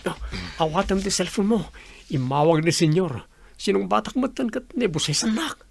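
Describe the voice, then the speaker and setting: A nervous, sneaky young manservant in radio drama. His voice is high with gravelly creak and jumpy intonation. He hesitates and sounds jittery. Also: expressive, moderate pace, darkish tone, no room sound, heavily acted. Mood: flustered.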